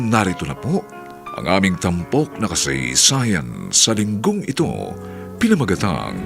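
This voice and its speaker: A man presenting a radio drama with theatrical, rhetorical scripted narration. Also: on the low side.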